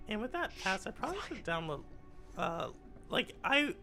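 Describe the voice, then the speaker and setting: A streamer with a raspy, congested voice, chatting with viewers and muttering aloud while playing a game live. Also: mid-range voice, sing-song, moderate pace, bright, forceful tone, soft background music. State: congested from a cold. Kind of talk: live gameplay stream with chat.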